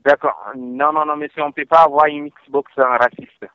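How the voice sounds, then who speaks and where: A man's voice: on the high side, steady tone, moderate pace, clear, resonant tone, no room sound. A man working as a customer support agent, talking on the telephone in a polite, repetitive, reassuring way. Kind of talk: customer service phone call. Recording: narrow tinny phone line, dry.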